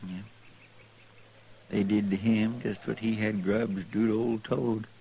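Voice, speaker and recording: An actor playing an earnest, folksy western deputy with a rural American drawl in a radio drama. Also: male voice, lowish voice, little pitch movement, a little slow, creaky, dark tone. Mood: somber wonder. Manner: folksy, plainspoken, deferential. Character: earnest, folksy deputy sidekick in the Old West. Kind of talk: 1950s radio western acting. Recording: noisy 1950s radio recording.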